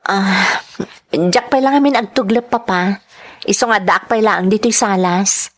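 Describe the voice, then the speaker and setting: A woman with a Filipino accent playing a troubled, gentle young schoolteacher in an earnest, emotive radio drama style. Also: medium pitch, a little slow, lightly acted. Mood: worried. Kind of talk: Ilocano radio drama acting.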